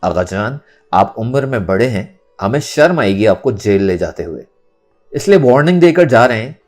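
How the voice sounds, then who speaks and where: A man voicing a calm, serious police inspector in an audio story, polite and measured yet stern. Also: lowish voice, faint music behind. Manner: measured, polite but warning.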